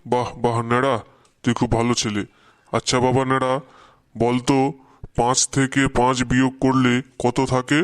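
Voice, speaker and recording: A man's voice: medium pitch, level tone, normal speed, long pauses, clear, resonant tone, no room sound, studio quality, lightly acted. A man voicing a strict, exasperated middle-aged schoolteacher in a Bengali comedy cartoon, scolding with a Bengali accent. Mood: stern. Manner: scolding, threatening.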